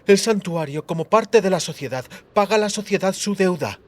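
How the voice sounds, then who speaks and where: Audiobook high priestess , male performer: authoritative, brusque, sarcastic, lively intonation. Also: normal speed. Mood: firm, lecturing.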